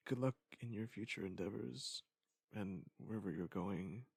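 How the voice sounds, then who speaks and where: A man acts out a wistful ex-lover with a low, gravelly voice, recorded close and dry. The delivery is halting and reflective, like an intimate audio drama confession left as a goodbye message. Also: darkish tone, no echo. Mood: resigned, wistful. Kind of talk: intimate scripted audio monologue.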